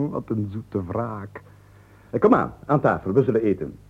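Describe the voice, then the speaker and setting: An actor playing a scheming, sugary, florid husband with a husky voice and a Flemish accent in a comic radio play. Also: male voice, on the low side, animated, unhurried, phone-quality sound, exaggerated voice. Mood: gleeful. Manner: florid, mock-formal, teasing. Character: gleeful, scheming husband, mockingly courtly and sugary. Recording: band-limited 1980s radio play.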